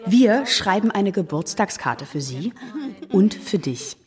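A woman's voice: medium pitch, very animated, takes it slowly. An actress playing a blunt, mocking teenage schoolgirl in a radio drama, brash and sarcastic. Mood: indignant. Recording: voices of a crowd behind.

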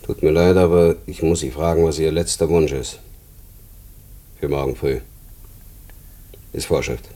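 An actor in a German radio play voicing a weary, apologetic prison inspector who speaks in a subdued, plain, hesitant way. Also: male voice, rumbling low, fairly even intonation, unhurried, long pauses, warm tone. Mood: subdued, awkward.

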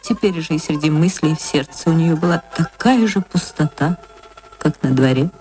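An actress reading a short story for radio, in expressive dramatic literary narration with drawn-out words. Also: female voice, low voice, soft background music, poor audio.

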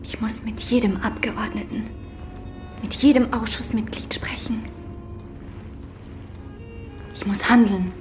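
An actress playing a dazed, distraught young wife in a radio drama, her breathy voice lapsing into whispers. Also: a little high, steady tone, normal speed, over music, lightly acted. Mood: dazed urgency.

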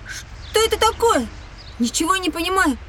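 A woman's voice: extremely high pitch, sing-song, normal speed, recorded from a distance, noisy background. A woman playing a reckless, carefree schoolboy in an educational children's audio drama, breezy, boastful and dismissive. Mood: excited, careless.

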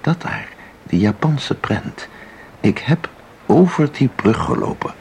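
A man's voice: medium pitch, lively intonation, takes it slowly, a little mellow, a little hiss or hum. An actor playing an intense, mysterious man in a radio drama, speaking with insistent, theatrical deliberation. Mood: dreamy, fervent. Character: intense, mysterious man who claims to enter paintings. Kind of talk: Dutch radio drama acting.

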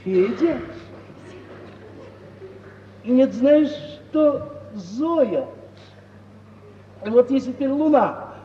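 An actor playing a foolish, sentimental and pompous suitor in halting, effusive 1950s Russian radio theatre style. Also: male voice, very high voice, animated, recorded from a distance, exaggerated voice. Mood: smitten, effusive. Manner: halting, effusive, swooping delivery with drawn-out words. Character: foolish, sentimental suitor, smitten yet pompously honour-bound. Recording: old roomy stage-like radio recording, dull, hissy.

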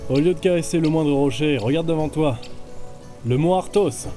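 A man playing a gruff, secretive travelling companion in a fantasy audio drama, curt and dry. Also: on the high side, moderate pace, warm tone, soft background music, lightly acted. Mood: teasing impatience. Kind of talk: French fantasy audio fiction dialogue.

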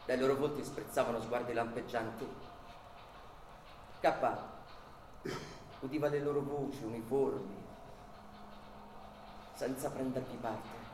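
A man giving dramatic literary narration in a radio play, with measured, incantatory phrasing. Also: medium pitch, steady tone, noisy background. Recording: roomy echo with music underneath.

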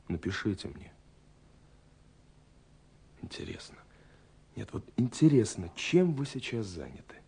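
Dreamy young man, low mellow voice. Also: gravelly, slightly rough, full character voice. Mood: tender, hushed. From Russia. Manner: lyrical, wistful, intimate, with pauses. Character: dreamy lovestruck young man narrating his letters. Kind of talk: Soviet radio drama monologue, romantic. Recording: 1970s radio recording with music.

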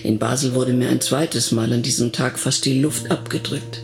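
Actor doing an old person looking back on life, gravelly creak, slow reflective telling, guitar music under it, radio play. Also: male voice, mid-range voice, fairly even intonation, lightly acted. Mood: wistful. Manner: measured, reflective storytelling. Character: reflective elderly woman recalling her childhood flight. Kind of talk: radio drama reminiscence. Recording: plucked guitar music behind.

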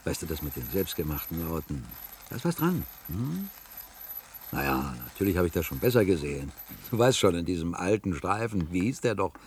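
Bossy blunt worker guy in a radio drama, gravelly, swings up and down a lot. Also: sits low, sing-song, fairly quick, a little hiss or hum. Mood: musing. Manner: blunt, colloquial, domineering. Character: brash, bossy male underground worker, gruff but chummy. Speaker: radio play actor. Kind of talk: German radio drama acting.

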